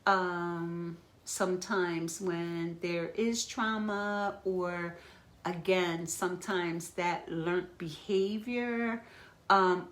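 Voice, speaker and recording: A woman therapist hosting a live stream, talking gently and reassuringly, slightly congested from asthma. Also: on the low side. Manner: gentle, reassuring, guides breathing exercises. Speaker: therapist and host of a live talk. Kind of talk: slow, caring live-stream talk. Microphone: phone or webcam live feed.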